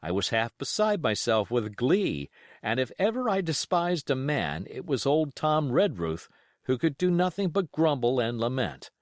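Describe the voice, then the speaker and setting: A man narrates classic adventure fiction in a middle-pitched voice. His delivery is measured and expressive, rising and falling in an animated way. The sound is dry, with no room around it. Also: no echo. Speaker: audiobook reader.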